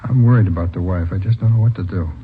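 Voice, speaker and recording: A man's voice: low voice, fast, lightly acted. A man acting an earnest, worried young rookie policeman in an old radio drama on a tinny, hissy recording. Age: young adult. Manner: earnest, pleading, hesitant.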